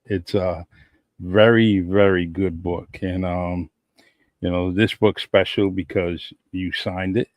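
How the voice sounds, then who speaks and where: A man hosting his own podcast in a loose, rambling way, doubling up words as he goes. His voice sits very low, and the recording is dry and close, with no room sound. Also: little pitch movement, slow, no echo. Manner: warm, rambling, repeats words, many "um"s. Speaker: podcast host. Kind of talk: casual interview chat.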